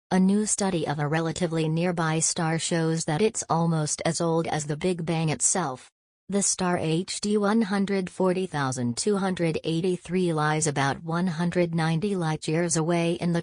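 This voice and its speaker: A woman's voice: sits low, a little mellow, dry recording, studio quality. A woman reading a scripted passage aloud, as narration for practice.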